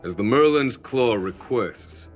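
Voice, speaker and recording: A man playing a composed, diplomatic general in a video game, polite and deliberate. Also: medium pitch, expressive. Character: composed, courteous male general, diplomatic and patient. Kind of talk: video game story dialogue acting. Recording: music under the voice.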